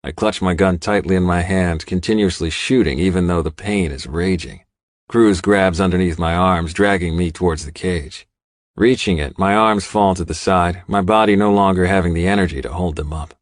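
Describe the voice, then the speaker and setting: Male audiobook narrator, low-pitched, dramatic first-person storytelling, dry close studio sound. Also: normal speed, no echo.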